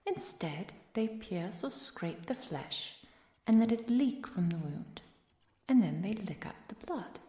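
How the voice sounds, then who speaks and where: A woman playing a composed, caring professor and vampire hunter in an ASMR roleplay, speaking softly and precisely, often near a whisper. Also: mid-range voice, lively intonation, breathy edge, no room sound. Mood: scholarly lecturing. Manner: measured, precise, softly commanding.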